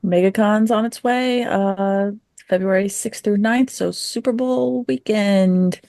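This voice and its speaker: A woman's voice: medium pitch, slow, warm tone, no room sound, clean studio sound. A woman co-hosting a podcast, chatting casually and interrupting herself as she gives practical tips. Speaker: podcast co-host.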